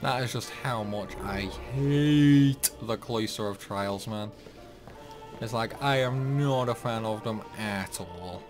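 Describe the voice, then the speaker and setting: Slow, rambling man doing game commentary. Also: lowish voice. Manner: rambling, grumbling asides. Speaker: gaming video host. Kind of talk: casual game playthrough commentary. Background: game music.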